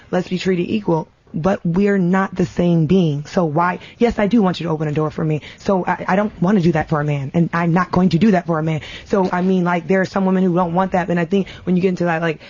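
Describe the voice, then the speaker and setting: A woman's voice: on the low side, steady tone, brisk, hardly any pauses, a little mellow, no room sound, lo-fi sound. A woman guest in a casual panel debate, choosing her words carefully and hedging as she goes. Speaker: talk show guest.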